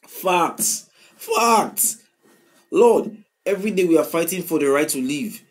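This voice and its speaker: A man in his twenties with a Nigerian accent, reacting to a song in casual commentary, swinging from loud outbursts to quiet mumbling, recorded on a webcam in a small room. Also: fairly high pitch, animated, slow pace, slight background noise. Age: 20s. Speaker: music reaction host. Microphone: webcam at desk, wearing headphones.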